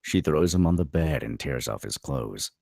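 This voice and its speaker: A man's voice: a bass voice, expressive, moderate pace, vocal fry, a little mellow, no room sound, clean studio sound. A man narrating stage directions as scripted narration at a table read.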